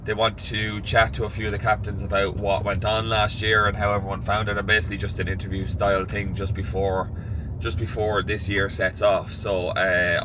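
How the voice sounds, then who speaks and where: A young Irish man chats to camera in a rambling, easygoing way, his lowish voice croaky and nasal from a head cold. A faint hum of ambient noise sits underneath. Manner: chatty, rambling, relaxed. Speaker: vlogger. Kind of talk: casual vlog talk to camera.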